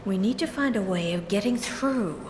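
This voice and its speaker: A woman's voice: medium pitch, expressive, normal speed, darkish tone, slight background noise, natural voice. An actress playing a proud, regal warrior queen in a video game, speaking in a formal, haughty, commanding way. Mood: resolute.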